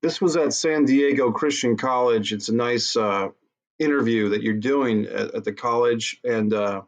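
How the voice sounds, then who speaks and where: Male interviewer, mid pitch, friendly casual questions with some hesitation. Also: unhurried. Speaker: guest host.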